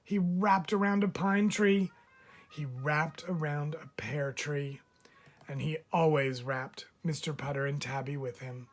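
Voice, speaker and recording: A man's voice: a little high, unhurried, a little hiss or hum. A man reading a children's story aloud like a teacher, drawing out phrase endings for emphasis.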